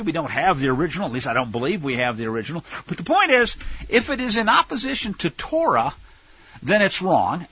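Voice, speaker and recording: American guy preaching, husky voice that swings all over, sarcastic and punchy, dry lo-fi sound. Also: on the high side, sharp tone, no room sound. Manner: emphatic, sarcastic, rhetorical questions. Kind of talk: sermon, Bible teaching with political commentary.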